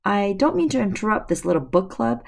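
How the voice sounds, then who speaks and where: A woman voicing a polite, worried boy who is lost, her voice slightly hoarse. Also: medium pitch, very animated, a little fast, exaggerated voice.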